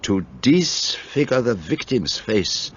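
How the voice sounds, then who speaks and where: A man's voice: medium pitch, sing-song, slow. An actor playing an elderly Belgian detective with a French-tinged Belgian accent, precise and theatrical, explaining his deductions. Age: older. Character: fussy elderly Belgian male detective, self-assured. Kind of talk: radio play acting. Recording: dull lo-fi recording, faint hiss.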